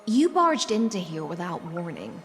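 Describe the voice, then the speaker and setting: A woman's voice: on the low side, sing-song, normal speed. An actress playing an aloof, teasing, languid noblewoman of the sea in a game, with a cool, lofty manner. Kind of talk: game character voice acting. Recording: long reverb, music behind. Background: music.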